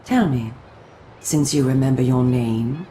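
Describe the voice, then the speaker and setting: An actress voicing a solemn, coolly persuasive female vampire resistance agent in a video game, speaking in a formal, measured, theatrical way. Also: extremely low pitch, dark tone, roomy sound, slight background noise. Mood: grave, expository. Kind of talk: scripted video game cutscene acting.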